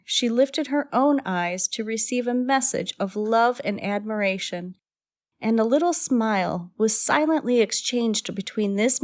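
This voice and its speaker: Female radio play narrator, medium pitch, literary scripted storytelling.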